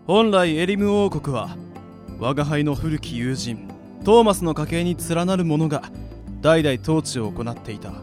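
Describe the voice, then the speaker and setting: Man acting a pompous high-pitched demon lord. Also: expressive, measured pace, music in the background. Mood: grand, solemn. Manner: grandiose archaic speech, declaiming. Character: pompous ancient demon lord, a proud fatherly male magician. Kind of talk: Japanese anime-style radio drama acting.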